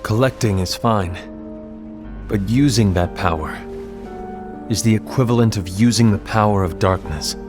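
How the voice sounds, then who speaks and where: An actor playing a grave, suspicious leader of a fantasy order in a game, speaking solemnly and formally with deliberate phrasing. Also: male voice, on the low side, over music, natural voice. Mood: stern. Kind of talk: scripted game cinematic acting.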